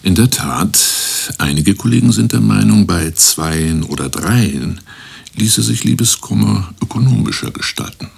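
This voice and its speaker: A man playing an eccentric, pompous guru-like professor in a radio play, lecturing with drawn-out emphasis. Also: fairly low pitch, expressive, creaky, husky, exaggerated voice. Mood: musing. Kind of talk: German radio play acting.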